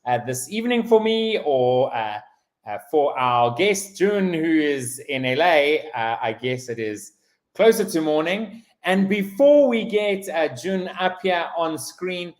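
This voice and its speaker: A man hosting a live stream interview over a video call, talking haltingly with many restarts and fillers. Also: fairly high pitch, lively intonation. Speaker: host.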